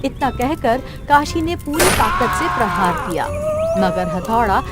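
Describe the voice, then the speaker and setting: A woman's voice: high voice, huge pitch swings, measured pace, over loud music. A woman narrating a scripted children's cartoon story as the storyteller.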